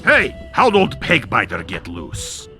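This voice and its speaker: A man's voice: medium pitch, wildly swooping pitch, slow, music in the background, exaggerated voice. An actor playing a male innkeeper in a pirate video game, drawling and theatrical. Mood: apologetic, drawling.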